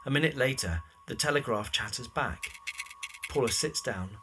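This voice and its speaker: A man's voice: mid-range voice, animated, normal speed, music in the background. A man reading scripted present-tense narration for an audio drama.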